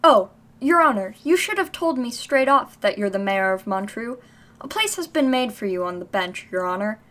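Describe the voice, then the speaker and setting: An actress playing an eager, polite, deferential courthouse attendant in an audio drama. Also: female voice, fairly high pitch, expressive, normal speed, a bit bright.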